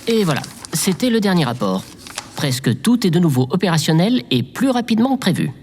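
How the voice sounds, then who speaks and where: A man's voice: sits high, very animated, a little fast, a little mellow. A man playing a timid, devoted and awkward presidential assistant in an audio drama, deferential and easily flustered, prone to stammering. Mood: eager, deferential. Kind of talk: scripted audio drama acting. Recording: long reverb, clear background noise.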